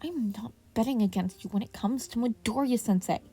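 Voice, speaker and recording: A woman's voice: a little high, brisk, dark tone, breathy edge, faint music behind. Woman voicing a gossipy male hero teacher. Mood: teasing. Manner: hissing, conspiratorial. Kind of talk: audiobook-style fan fiction character reading.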